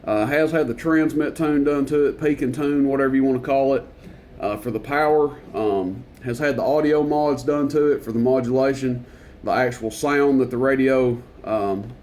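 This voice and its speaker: A man with a loud voice, casually demonstrating a CB radio at his workbench, talking in a relaxed, rambling way with lots of fillers. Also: medium pitch, normal speed, a little hiss or hum. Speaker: CB radio reviewer.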